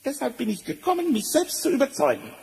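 High-voiced sly talking tomcat. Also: male voice, quick pace, creaky, exaggerated voice. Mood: wheedling. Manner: theatrical, flattering, mock-amazed. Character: sly, bold male talking cat, courtly flatterer. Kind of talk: fairy-tale audio drama acting. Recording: reverberant hall, noisy vinyl transfer.

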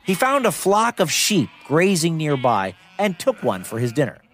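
A man's voice: fairly high pitch, wildly swooping pitch, normal speed, fairly bright tone. A man narrating a scripted myth for children as a storyteller, in a dramatic but conversational way. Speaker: storyteller, podcast host. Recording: dry studio sound with music behind. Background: music.

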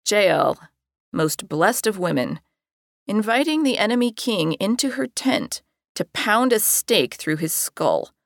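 A woman reads a scripted audiobook. Her voice sits a little low, and her delivery is conversational and wry. The recording is fairly dry and close. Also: gravelly, a bit bright, no echo. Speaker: author reading her own audiobook.

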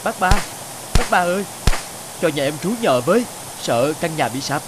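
An actor playing a humble, gentle husband in an animated moral tale, earnest and plain-spoken. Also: male voice, fairly high pitch, normal speed, noisy background, lightly acted. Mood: anxious, pleading. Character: worried, humble poor husband, gentle and conciliatory. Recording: music behind the voice.

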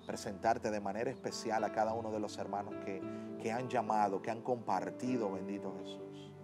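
A low-pitched man with a Dominican Spanish accent leads a studio prayer, slow and fervent, repeating each invocation. Soft piano plays clearly under his voice. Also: steady tone. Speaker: host. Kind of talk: leading a spoken prayer on a TV show. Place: TV studio set. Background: soft piano music.

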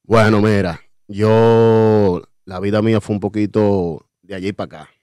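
A man's voice: fairly low pitch, steady tone, unhurried, a little mellow, clean tone, no echo. A Dominican man in his thirties, a guest artist chatting humbly in a radio studio, with lots of fillers and restarts. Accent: Dominican Spanish. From Dominican Republic. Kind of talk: casual interview chat. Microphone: desk mic with headphones.

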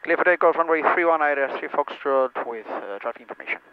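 A man's voice: on the high side, fast, a little hiss or hum. A young man making cockpit callouts and radio calls as a pilot, heard through an aviation headset. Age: young adult. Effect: aviation headset intercom. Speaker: pilot at the controls. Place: small aircraft cockpit. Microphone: headset boom mic. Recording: dull headset intercom, music behind.

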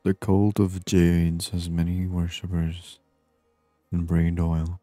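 A man giving soft-spoken ASMR gameplay commentary in a hushed, unhurried murmur, at times near a whisper. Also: low voice, little pitch movement, dry recording, faint music behind. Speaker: gaming video host.

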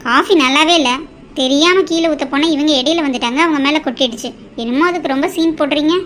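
A haughty young daughter-in-law from a family melodrama acted by a woman, with a high-pitched voice. She is indignant and resentful, full of contempt and veiled threats. There is faint noise behind her. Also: quick pace, fairly bright tone. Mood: indignant, sneering.

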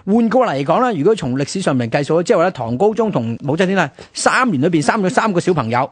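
A man's voice: high voice, big pitch swings, quick pace, no room sound. A man with a Hong Kong Cantonese accent co-hosting a history talk, explaining conversationally and posing rhetorical questions. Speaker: radio programme co-host.

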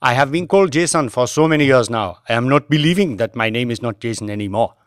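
A man's voice: mid-range voice, fast, smooth, dry recording, clean studio sound, close to an everyday voice. A man playing a chatty, proud Indian chauffeur with an Indian accent, deferential and insistent in an audiobook. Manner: deferential, insistent, non-native grammar.

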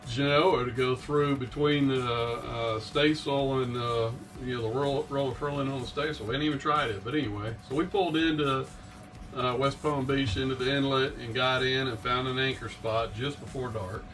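A man's voice: mid-range voice, steady tone, normal speed, a bit bright, recorded from a distance, faint music behind, poor audio. A middle-aged man casually recounting a sailing trip as a vlog host, recorded in a small boat cabin. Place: small wood-panelled sailboat cabin.

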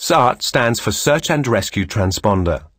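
A man reading scripted instructional narration as a course instructor, measured, formal and clearly enunciated. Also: medium pitch, animated, a bit bright, dry recording.

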